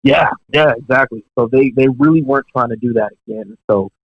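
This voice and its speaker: A young man, a professional drummer, telling career stories in a relaxed, chatty way with lots of fillers and asides. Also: medium pitch, fairly quick, dry recording. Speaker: professional touring drummer, podcast guest. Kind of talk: casual storytelling in an interview. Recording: dull, dry lo-fi podcast audio.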